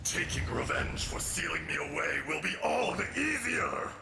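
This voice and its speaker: An actor voicing a vengeful, menacing ancient sealed monster in a fantasy video game, with a gravelly voice and grandiose threats. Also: male voice, fairly high pitch, big pitch swings, moderate pace, exaggerated voice. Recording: echoing, noisy game audio from stream.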